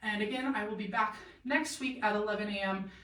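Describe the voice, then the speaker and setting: A woman's voice: medium pitch, fairly quick, fairly bright tone. Chatty woman in an echoey shop. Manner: chatty, enthusiastic, many fillers, answers viewer comments. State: voice getting tired. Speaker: boutique plus-size model hosting a live try-on stream. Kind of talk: casual live-stream chat. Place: clothing boutique. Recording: echoey room, slightly distant mic.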